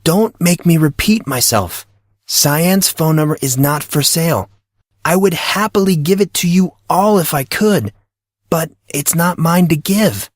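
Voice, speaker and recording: An earnest, stubborn teenage boy played by a male narrator in a storybook reading, pitched well up from a man's natural voice. He protests with baffled, halting incredulity, and the sound is close and dry. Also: no room sound. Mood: firm, weary. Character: exasperated, earnest teenage schoolboy, naive and stubborn.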